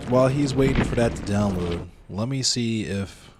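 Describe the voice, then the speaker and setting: A man's voice: medium pitch, moderate pace, noisy background. A man streaming a video game, chatting casually and rambling to his viewers and a friend. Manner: laid-back, rambling, talks to chat and a friend. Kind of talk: casual gaming stream chat.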